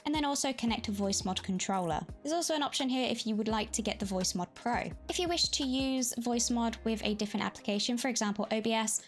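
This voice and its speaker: A woman with a fairly high voice guides listeners through a software tutorial. Her delivery is friendly and keen, like a prepared walkthrough with casual touches. Music plays behind her. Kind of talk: scripted tutorial narration with casual enthusiasm. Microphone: Blue Yeti desktop microphone. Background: background music.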